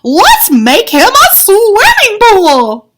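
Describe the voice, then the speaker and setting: A woman's voice: very high-pitched, wildly swooping pitch, drawn-out words, noisy background, voice pushed up, heavily acted. A woman voicing a playful, childlike male imaginary friend in a storybook, with a bouncy, exclamatory, eager way of talking. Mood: excited.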